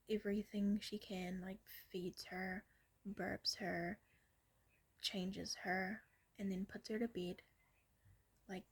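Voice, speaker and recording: A young woman telling a story in a soft ASMR-style whisper, rambling with lots of fillers. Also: mid-range voice, husky.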